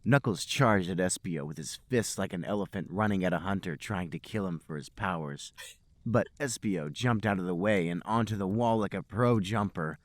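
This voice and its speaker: A man's voice: deep voice, normal speed, dry recording. A man hosting a podcast, giving a comic dramatic reading of amateur fiction with mock-serious storytelling. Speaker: podcast host and reader.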